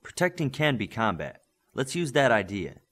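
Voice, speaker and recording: Casting: male reader as hero's mentor, instructive and commanding, lively pitch, encouraging. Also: moderate pace. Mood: firm, instructive. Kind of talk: audiobook character acting.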